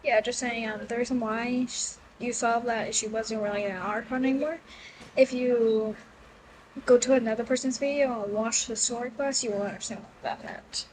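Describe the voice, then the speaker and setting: A woman's voice: fairly high pitch, fairly even intonation, moderate pace, a little mellow, slight background noise, poor audio. A woman giving casual, rambling gameplay commentary as the host of a gaming video. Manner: rambling, informal.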